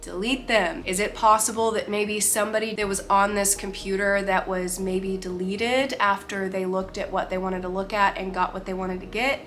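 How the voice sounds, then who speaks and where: A woman's voice: medium pitch, slight background noise. A young woman with a nasal, congested voice and short of breath, giving casual, opinionated commentary as a vlogger. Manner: chatty, opinionated, animated.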